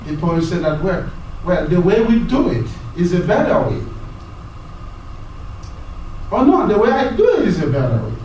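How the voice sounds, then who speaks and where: A man giving a university lecture, talking in a halting way and often repeating words as he searches for the next one. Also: high-pitched, very animated, darkish tone, a little hiss or hum. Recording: very echoey room, mic far off.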